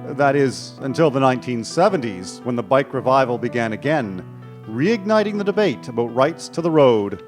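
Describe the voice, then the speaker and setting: A man's voice: mid-range voice, animated, normal speed, close to an everyday voice. A man narrating a history radio play in a scripted storytelling style. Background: soft plucked guitar music.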